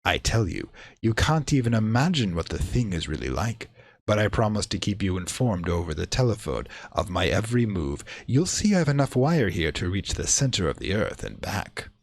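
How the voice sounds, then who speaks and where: A man playing a calm, condescending occultist with a mellow, measured delivery in a radio play. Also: lowish voice, vocal fry, exaggerated voice. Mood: cool, grave. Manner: coolly measured, unperturbed.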